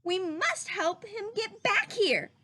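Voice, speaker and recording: Woman reading as male toy bear, high voice, gentle, earnest, simple, unhurried, storybook. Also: sing-song, exaggerated voice. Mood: earnest, concerned.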